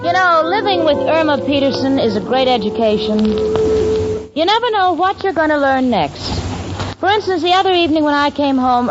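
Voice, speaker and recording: A woman's voice: sits high, lightly acted. An actress playing a sensible, affectionate young working woman, wry and patient, in 1940s radio comedy style. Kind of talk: 1940s radio comedy acting, narration and dialogue. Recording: muffled, noisy 1940s radio with audience.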